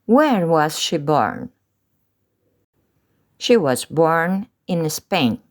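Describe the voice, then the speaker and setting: A woman teaching English through slow, deliberate pronunciation drills, pausing between short phrases. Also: sits low, very animated. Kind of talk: slow drilled language lesson.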